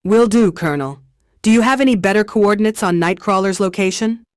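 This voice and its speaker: A woman's voice: medium pitch, a little fast, very noisy, lightly acted. A woman voicing a dutiful, composed superhero field leader in a video game, with military formality. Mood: focused. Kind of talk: video game mission dialogue.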